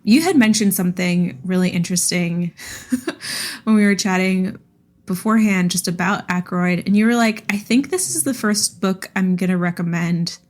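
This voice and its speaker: A woman's voice: on the low side, moderate pace. A woman co-hosting a podcast, discussing books in a casual, conversational way. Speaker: podcast co-host.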